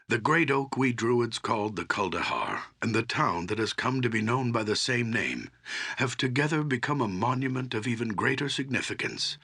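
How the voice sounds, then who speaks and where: Deep, gravelly old druid sage. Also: male voice, pushed and bright, full character voice. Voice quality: gravelly, husky. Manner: solemn, deliberate, portentous storytelling. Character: grave old archdruid, solemn guardian of nature. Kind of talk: fantasy video game voice acting.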